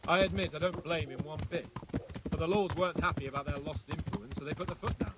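A man voicing a cocky, talkative young nobleman in a video game, breezy and wry, his voice sitting a little high. He gossips with easy charm, and horse hooves clatter clearly behind him in the game audio. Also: fast, very noisy, lightly acted. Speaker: game character. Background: horse hooves clip-clopping.

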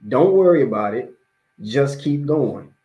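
Roomy webcam sound, American man, forties, medium pitch, imam lecturing, conversational, emphatic, repetitive phrasing. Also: lively intonation, unhurried, mellow, muffled recording. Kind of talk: motivational religious lecture to camera. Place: small indoor room at home or office. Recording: roomy, echoey webcam audio.

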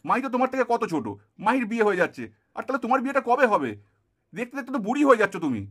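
Casting: male actor for firm, persuasive village family man in puppet cartoon; high pitch, very quick speech. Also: big pitch swings, fairly bright tone, heavily acted. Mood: teasing. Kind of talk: dramatized Bengali puppet-show dialogue.

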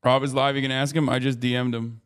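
A man's voice: mid-range voice, flat delivery, quick pace, clear, resonant tone. A man in his thirties giving casual livestream commentary into a close microphone. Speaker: livestream host.

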